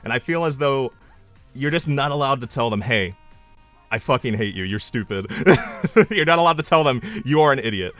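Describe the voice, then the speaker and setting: A young man chatting casually on his live stream, mocking himself and breaking into loud triumphant yells. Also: medium pitch, animated, normal speed, faint music behind.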